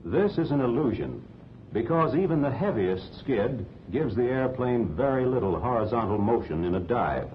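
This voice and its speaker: An American man reading scripted instructional narration for a 1940s training film in a measured, didactic way. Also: very animated. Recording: dull 1940s film soundtrack, rumbling noise.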